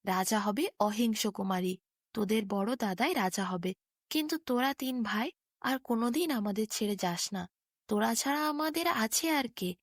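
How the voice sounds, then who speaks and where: A woman's voice: on the high side, expressive, moderate pace, no echo, studio quality. A woman voicing a loving, emotional queen and mother in a storybook, tender and pleading. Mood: tearful relief, pleading.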